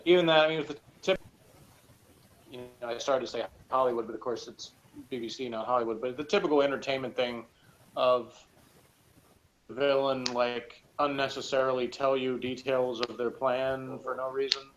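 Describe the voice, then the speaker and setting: A man's voice: mid-range voice, steady tone, moderate pace, highs cut off. A man in a casual review chat, thinking aloud in a rambling, tentative way as a guest reviewer. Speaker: guest reviewer discussing TV episodes. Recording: noisy recording with background ambience. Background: noticeable noise and ambience.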